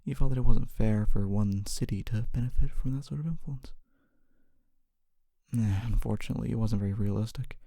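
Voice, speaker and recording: A performer voicing a kindly, humble fantasy city guard in a soft-spoken ASMR roleplay, often whispering, with a gentle, hesitant and reassuring manner. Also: mid-range voice, fairly quick, creaky, dry recording. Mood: gentle, chatty, hesitant. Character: kindly, humble city guard, wannabe knight, calming and helpful.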